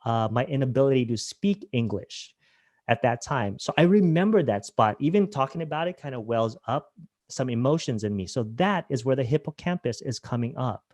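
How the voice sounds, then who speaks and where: A man's voice: mid-range voice, expressive, normal speed, darkish tone, no echo. A man from Hawaii presenting a webinar, talking conversationally and asking his audience questions. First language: Filipino language.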